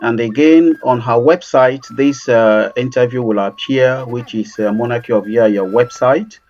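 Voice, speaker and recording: A male interview host who talks haltingly with many fillers, wrapping up a remote interview. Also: mid-range voice, a little mellow. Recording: dull sound with faint music.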